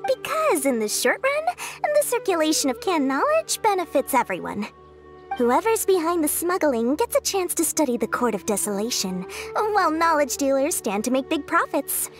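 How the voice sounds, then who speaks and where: A woman's voice: high voice, sing-song, moderate pace. A woman voicing a small, shrewd, money-loving female merchant in a video game, with wheedling, theatrical sales patter. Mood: sly, persuasive. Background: music.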